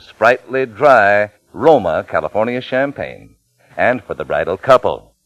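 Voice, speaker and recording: Smooth persuasive 1940s radio announcer man. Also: medium pitch, no echo, highs cut off. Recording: dry old 1940s radio recording.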